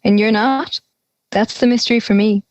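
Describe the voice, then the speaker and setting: A woman's voice: on the high side, steady tone, moderate pace, a little mellow, dry recording, lightly acted. A young woman playing an anxious, exasperated teenage schoolgirl in conversational audio drama dialogue. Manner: exasperated, worried. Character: anxious schoolgirl dreading a class presentation.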